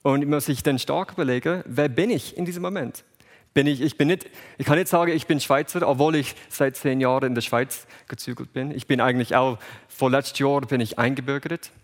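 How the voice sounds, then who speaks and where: A man who grew up in England and the USA, with English as his first language, reads scripture and preaches in German with an English accent, pausing often and restarting words. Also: medium pitch, fairly even intonation, long reverb tail. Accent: English-speaking. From England, raised in USA. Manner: halting non-native German with restarts. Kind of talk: bible reading and sermon.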